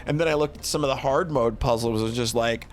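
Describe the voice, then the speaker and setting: A man's voice: mid-range voice, fast talker, soft background music. A man giving a casual, unscripted review as a streamer, often repeating and restarting phrases as he thinks aloud.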